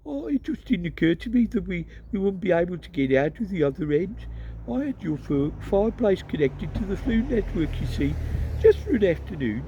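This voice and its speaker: An excitable, stammering wizard father in a storybook reading, as a male reader puts him on. He pitches noticeably higher than the narration, with a lively, bouncing delivery and a quiet hum of traffic behind. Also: normal speed, muffled recording. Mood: apologetic. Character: flustered, enthusiastic middle-aged wizard father.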